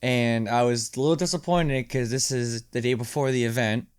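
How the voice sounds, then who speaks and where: A man's voice: mid-range voice, fairly even intonation, normal speed, clear, resonant tone, dry recording, studio quality. A man co-hosting a podcast in casual conversation, talking in an informal, slangy way. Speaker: podcast co-host. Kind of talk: casual chat.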